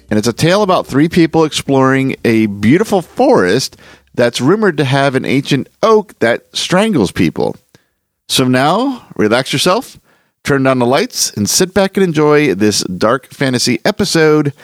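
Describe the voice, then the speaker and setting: A man's voice: medium pitch, big pitch swings, moderate pace, dry recording. A man hosting, delivering a scripted podcast introduction. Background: faint music.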